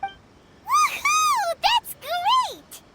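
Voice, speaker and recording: A woman voicing a small, childlike, excitable fairy companion in a video game, squeaky and exclamatory, talking about herself by name. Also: extremely slow, heavy background noise, heavily acted. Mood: excited. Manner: refers to self by name, exclamatory. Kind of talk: video game character dialogue. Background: music.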